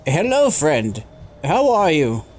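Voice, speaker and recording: A man's voice: a little high, wildly swooping pitch, takes it slowly, a little mellow, faint music behind, heavily acted. A man as an unctuous zealot citizen. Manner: unctuous, preachy. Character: zealous male citizen devoted to body augmentation.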